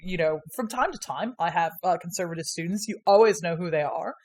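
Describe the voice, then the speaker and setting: A woman's voice: lowish voice, expressive, brisk, darkish tone. A woman guest on a casual podcast, a history lecturer who rambles through stories with constant fillers, frequent laughs and casual swearing.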